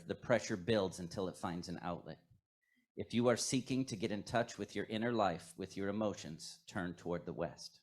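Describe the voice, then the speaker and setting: Male worship leader, low register, measured and reflective, delivering a poetic scripted meditation.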